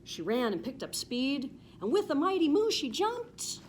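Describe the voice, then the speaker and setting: An American woman reads a rhyming picture book for children. Her pitch swings widely and expressively, with an emphatic, sing-song lilt. There is light background noise behind her voice. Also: big pitch swings, a little slow. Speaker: police officer reading a children's story. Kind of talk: expressive children's storybook read-aloud.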